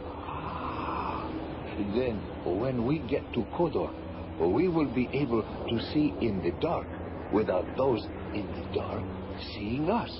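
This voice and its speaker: An actor playing a courteous, wondering alien lord in a radio drama, with halting, formal, measured phrasing. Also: male voice, mid-range voice, expressive, heavy background noise. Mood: hesitant, wondering. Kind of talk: old-time radio drama acting. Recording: dull radio recording over music.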